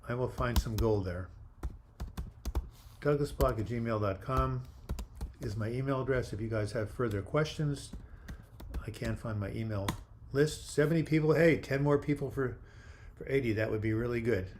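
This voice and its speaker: An older man hosting a live video chat, reading viewer comments aloud and replying in a friendly, halting way with frequent restarts. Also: mid-range voice, a little mellow, slight background noise. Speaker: livestream host answering viewer comments.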